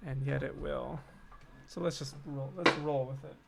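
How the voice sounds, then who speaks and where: Creaky-voiced man musing over loud music. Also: medium pitch, fairly even intonation, moderate pace. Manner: musing, self-deprecating asides, talks to chat. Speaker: music composer and streaming host. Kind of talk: casual livestream lecture, thinking aloud while composing. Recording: loud cello and piano music behind. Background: cello and piano music being composed.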